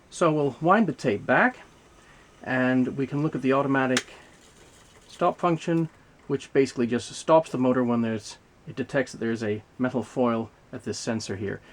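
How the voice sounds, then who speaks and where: A man giving an unscripted, hands-on technical demonstration as a video host, explaining things in a measured, methodical way. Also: medium pitch. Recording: clear background noise, mechanical clicks.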